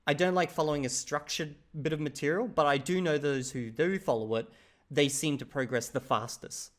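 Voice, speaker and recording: An Australian man hosting his channel, giving relaxed, opinionated commentary on another creator's video. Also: on the high side, moderate pace. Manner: relaxed, opinionated, chatty asides. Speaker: channel host reviewing a video. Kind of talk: casual commentary.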